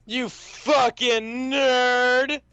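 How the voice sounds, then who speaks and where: A man's voice: extremely high pitch, little pitch movement, extremely slow, pushed and bright, smooth, dry recording. A man giving casual, joking co-host commentary with crude, sweary interjections. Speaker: let's play co-host. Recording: slightly dull mic, music behind.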